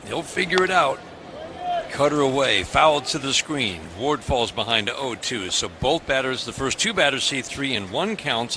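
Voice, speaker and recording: An American man calling a baseball game live on the radio as the play-by-play announcer. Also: medium pitch. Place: outdoor ballpark broadcast booth. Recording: outdoor stadium ambience, clear background noise. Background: stadium ambience noise.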